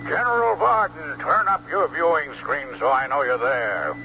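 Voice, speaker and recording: An actor playing an imperious, irascible emperor barking orders in a blustering manner in a radio drama. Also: male voice, medium pitch, very animated, moderate pace, muffled recording. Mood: irate, commanding. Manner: peremptory, blustering. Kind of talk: 1970s radio drama acting. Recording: noisy, band-limited old radio.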